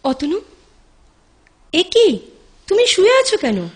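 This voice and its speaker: A woman's voice: extremely high pitch, wildly swooping pitch, slow, exaggerated voice. An actress in a Bengali audio play voicing a devoted, caring woman who speaks expressively, with affectionate coaxing. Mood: concerned, coaxing. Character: devoted, caring woman, encouraging her troubled lover.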